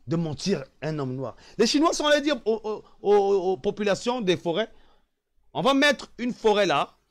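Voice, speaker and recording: A man from the Republic of the Congo delivering an impassioned political monologue as an online commentator, emphatic and repetitive, dropping into low sighing asides.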